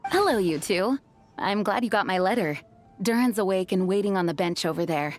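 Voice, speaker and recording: Woman voicing authoritative knight captain, courteous, formal, expressive melody, video game, faint music behind. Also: medium pitch, a little fast, lightly acted. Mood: kindly. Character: poised, dutiful female knight commander, kind and authoritative. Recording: background music under voice.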